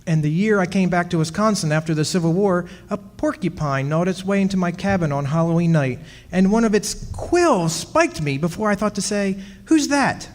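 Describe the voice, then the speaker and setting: An actor playing a wry, centuries-old henpecked husband of a witch in a radio play, telling his story in measured dramatic style. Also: high voice, a little mellow, slight background noise, lightly acted. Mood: wry, reminiscing. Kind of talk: dramatic first-person radio play narration. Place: stage performance in a community club hall. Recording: echoey hall, music behind.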